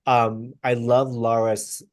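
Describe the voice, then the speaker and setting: A man hosting an interview over a video call, quick to praise and laugh. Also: medium pitch, level tone, darkish tone, no room sound. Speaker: interview host. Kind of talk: casual interview chat.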